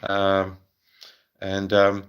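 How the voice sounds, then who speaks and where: A man's voice: deep voice, monotone, drawn-out words, highs cut off. A middle-aged man with a South African accent, answering viewers' questions on a casual livestream, hesitant, with many ums and restarts.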